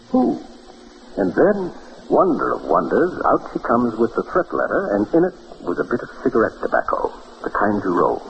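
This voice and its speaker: An actor playing a self-satisfied lawyer-detective who theatrically poses and answers his own questions, in old-time radio drama style. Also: lowish voice. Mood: proud, explaining. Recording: muffled old radio broadcast, faint hiss.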